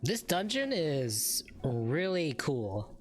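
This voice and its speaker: A woman comments on her gameplay in a casual, teasing way. Her voice is low and rather slow, with playful swings in it. Faint game music runs behind her. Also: sing-song, a little mellow. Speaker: gaming video creator.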